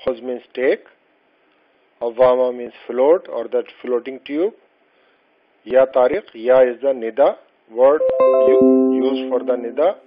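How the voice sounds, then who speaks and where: Male language tutor, not a native speaker of Arabic, mid pitch, patient and halting with fillers, dull lo-fi voice-over. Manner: slow, patient explaining with many fillers and restarts. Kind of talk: explaining a textbook lesson. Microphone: voice-over over slides.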